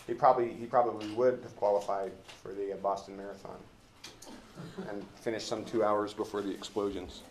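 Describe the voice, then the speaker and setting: A man's voice: on the low side, level tone. An American man leading an informal classroom discussion of a play, rambling and joking as he feels his way through. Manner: halting, rambling, colloquial. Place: indoor classroom. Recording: roomy classroom echo, background hiss.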